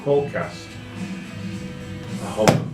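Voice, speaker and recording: A male quiz contestant talking slowly and casually from a distance. The room echoes around his voice and loud music plays over it. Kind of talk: casual banter.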